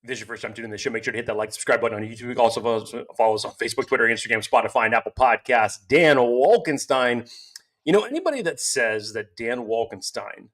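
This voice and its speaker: A man's voice: mid-range voice, expressive, fast talker, fairly bright tone. A man co-hosting a casual sports podcast, talking with hype-style energy and doing ad reads over a remote call. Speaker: podcast co-host.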